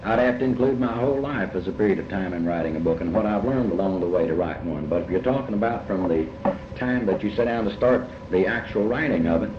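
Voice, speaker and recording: A man with a low voice and a Kentucky accent talks as a writer being interviewed. He is deliberate and folksy, stopping and repeating himself as he thinks. The recording is muffled and echoey, with clear background noise. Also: lively intonation. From Kentucky, United States. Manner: halting, deliberate, folksy, often repeating phrases. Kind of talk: reflective interview answers. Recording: muffled, noisy, echoey old tape.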